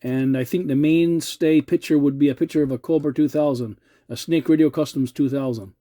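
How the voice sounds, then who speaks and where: A middle-aged or older man with a very warm, mellow voice recorded close and dry. He talks casually as a radio hobbyist, polite and measured. He often hesitates and doubles back on his words. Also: on the high side, steady tone, dry recording. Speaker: amateur radio operator hosting a video channel. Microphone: cell phone filming the radio.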